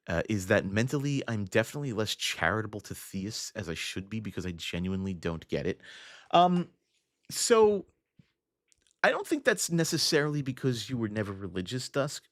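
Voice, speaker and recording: A man's voice: fairly low pitch, big pitch swings, moderate pace, no room sound, clean studio sound. A young adult man hosting a casual livestream, thinking aloud with frequent restarts and fillers as he answers his chat. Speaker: livestream host. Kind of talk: casual livestream chat reading viewer comments.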